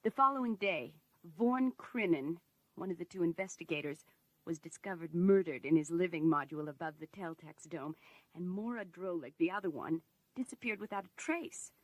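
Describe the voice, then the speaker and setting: A woman's voice: lowish voice, animated, moderate pace, no echo, rough recording. A woman playing an earnest, polite secretary to an Emperor in a science fiction audio drama, speaking confidingly. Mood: confiding, grave.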